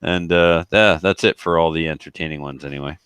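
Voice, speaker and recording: A man's voice: a bass voice, a little fast, a bit bright, smooth, no echo, studio quality. A man co-hosting a podcast in casual banter, joking and rambling, often trailing off. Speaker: podcast co-host.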